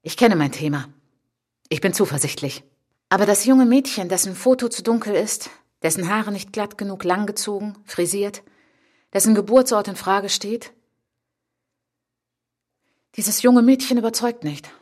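Eine Schauspielerin spielt in einem literarischen Hörspielmonolog eine stolze, verletzte junge Französin, die nachdenklich, eindringlich und bedacht von sich erzählt. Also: female voice, mid-range voice, darkish tone, lightly acted. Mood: intense, lyrical. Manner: intense, deliberate, sometimes dropping to a whisper. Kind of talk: literary radio drama monologue.

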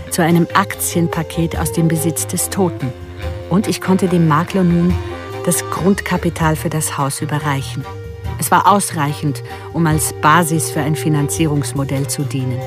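An actress playing a composed contract killer and devoted mother who gives measured, matter-of-fact accounts in a radio drama. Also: sits low, steady tone, over music, natural voice. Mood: cool, matter-of-fact. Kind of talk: first-person radio drama monologue.

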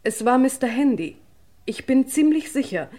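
An actress playing an earnest hotel secretary in a radio crime drama, speaking precisely and in an orderly way. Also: female voice, sits high, expressive, moderate pace, dark tone. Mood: composed, earnest. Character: earnest female hotel secretary giving police testimony.

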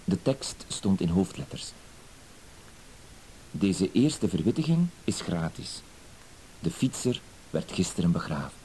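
An actor playing a timid, dutiful husband with wounded dignity, hesitant, wordy and apologetic, in a radio play. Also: male voice, low-pitched, sing-song, slow, long pauses, dark tone, heavily acted, poor audio. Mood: earnest, confiding. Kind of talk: Dutch-language radio play acting. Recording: hissy old radio play recording.

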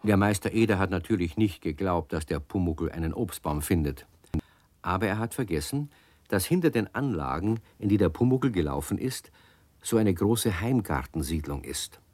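A deep male narrator reads a children's radio story and speaks straight to the kids as he goes. His voice sits low and close in a dry studio. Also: lively intonation, normal speed, no room sound. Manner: warm, addresses the children directly.

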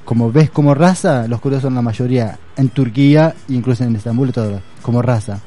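A man's voice: mid-range voice, brisk, mellow. A man speaking in a casual conversation, hesitant and searching for words, with many fillers. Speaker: guest.

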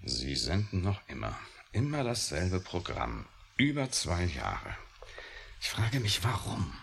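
An actor in a science fiction radio play, playing an intense, defiant scientist who speaks in an emphatic, pensive way. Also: male voice, low-pitched, wildly swooping pitch, takes it slowly, vocal fry, a bit bright, full character voice. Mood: pensive, brooding. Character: intense, brooding, defiant scientist, grown man. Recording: faintly hissy old radio drama recording.

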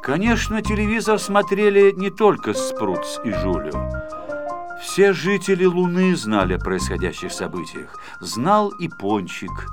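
A man narrating a children's audiobook with expressive, scripted storytelling. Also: on the low side.

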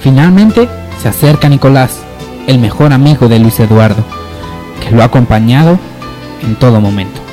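A man's voice: medium pitch, lively intonation, moderate pace, warm tone, soft background music. A man narrating a scripted amateur radio soap opera as its storyteller.

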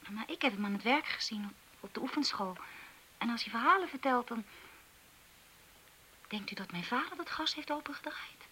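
An actress in a radio drama playing a hesitant young woman who talks in halting, unsure phrases. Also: fairly high pitch, animated, bright, forceful tone, a little hiss or hum, poor audio.

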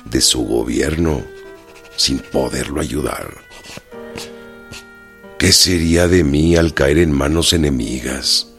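An actor with a Mexican accent playing a proud, loyal, imprisoned revolutionary in a radio drama, speaking in a slow, plain-spoken way. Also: male voice, extremely low pitch, heavily acted. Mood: earnest. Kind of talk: radio drama, letters read aloud. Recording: faint music behind.

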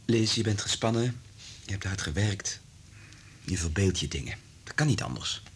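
An actor playing a concerned, clinical doctor in a radio drama, speaking in a soothing, restrained and earnest manner. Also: male voice, lively intonation, normal speed, lightly acted. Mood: concerned, calming. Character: concerned, clinical male doctor secretly in love with colleague. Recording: noisy radio play recording with low rumble.

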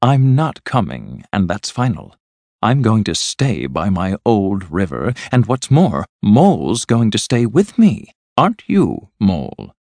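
A man voicing a sensible, loyal river rat from a storybook in a measured, serious manner. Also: on the low side, sing-song, a little mellow, no room sound. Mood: firm. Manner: measured, serious, matter-of-fact. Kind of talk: storybook character voice.

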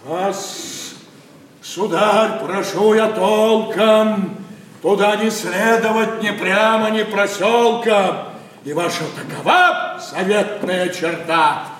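Pompous, very slow-spoken old gentleman. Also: high-pitched. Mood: threatening, furious. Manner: pompous, slow, emphatic declamation. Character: blustering, status-obsessed older gentleman, scolding father. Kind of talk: classical verse stage acting. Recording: very echoey hall, distant, faint noise.

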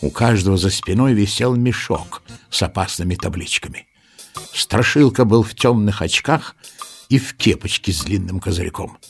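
A man playing the storyteller of a children's audio play, giving expressive, dramatic scripted narration. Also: lowish voice, normal speed, vocal fry, breathy edge, faint music behind, full character voice. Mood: storytelling.

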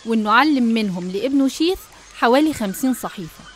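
A woman's voice: on the high side, expressive, fast talker, slight background noise. A woman with an Egyptian accent reading scripted religious narration.

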